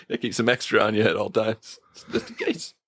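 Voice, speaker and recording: A man chatting casually as a podcast guest, with a deadpan, joking manner. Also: fairly low pitch, slightly rough, no echo, clean studio sound. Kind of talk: casual chat.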